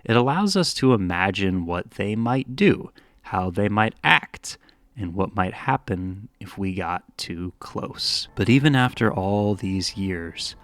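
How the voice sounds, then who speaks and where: A man giving video essay commentary, musing and dramatic, shifting between scripted narration and casual, wry asides. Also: sits low.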